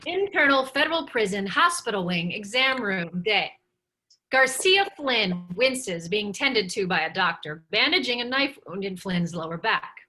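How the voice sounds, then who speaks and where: A woman's voice: medium pitch, lively intonation, a little slow, bright, forceful tone, low-quality recording. A woman narrator reading stage directions from a script at a table read.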